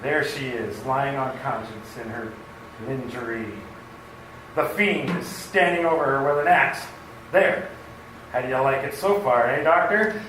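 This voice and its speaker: A man acting an excitable, talkative policeman and would-be playwright in an amateur radio play. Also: mid-range voice, unhurried, close to an everyday voice. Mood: eager. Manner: eager, rambling. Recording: distant, very echoey room with noise.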